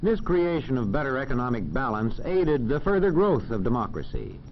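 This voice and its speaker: Formal American male narrator for a 1940s classroom film, animated delivery, dull old soundtrack. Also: mid-range voice, slight background noise. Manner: formal, measured, didactic.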